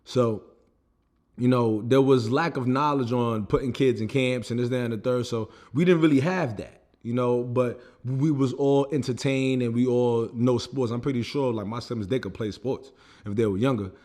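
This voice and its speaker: A young American man talking straight to camera about his own setbacks. His delivery is loose and conversational, full of fillers and restarts, at a mid-range pitch. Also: moderate pace, a little mellow. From United States. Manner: loose, conversational, full of fillers like "you know what I mean". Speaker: vlogger. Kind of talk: casual personal storytelling.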